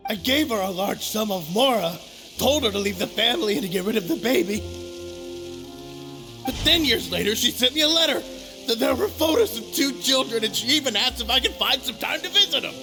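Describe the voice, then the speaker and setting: A man's voice: extremely high pitch, expressive, fairly quick, over music, rough recording. A man playing a cowardly, self-justifying wealthy man in a video game, speaking in a defensive, pleading way. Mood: defensive, agitated. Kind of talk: dramatic game voice acting.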